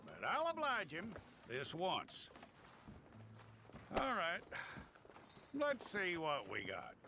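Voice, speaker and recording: A weary, grudging sheriff in a video game, his gravelly voice drawled slowly with a lot of up and down in the pitch. Background noise is clearly audible. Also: huge pitch swings, full character voice. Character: weary, grudging middle-aged male sheriff.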